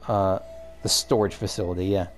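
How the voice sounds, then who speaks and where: A man's voice: low voice, flat delivery, moderate pace, soft background music. A man casually commenting while playing a game, rambling and cutting himself off as he thinks aloud. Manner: rambling, self-interrupting, musing aloud. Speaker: let's play host.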